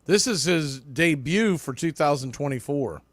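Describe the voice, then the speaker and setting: Male play-by-play baseball announcer, slightly high voice, clipped calls rising with the action, very dry sound. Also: expressive, unhurried, no echo. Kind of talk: live radio-style play-by-play commentary.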